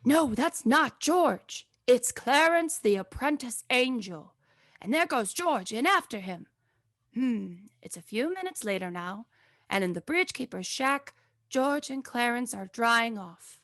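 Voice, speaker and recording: A woman playing an unhurried, suspenseful narrator of a radio play, with a creaky voice. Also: a little high, animated, fairly bright tone, no room sound, clean studio sound. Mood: storytelling, suspenseful.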